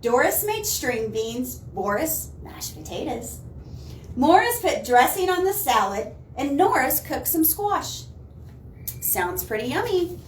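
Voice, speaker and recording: High-pitched woman reading animatedly to children. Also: slow. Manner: expressive, asks listeners playful questions. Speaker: library storyteller. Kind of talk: animated children's picture-book read-aloud. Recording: echoey room, distant mic, faint noise.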